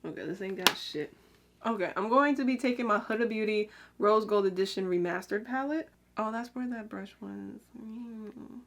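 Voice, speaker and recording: A young woman chatting casually while she does her makeup, rambling with lots of "like" and "um" and drifting quieter as she works. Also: mid-range voice, normal speed, creaky, slight background noise. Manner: talks while applying makeup, drifts quieter then brighter. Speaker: beauty vlogger. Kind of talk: casual get-ready-with-me makeup chat.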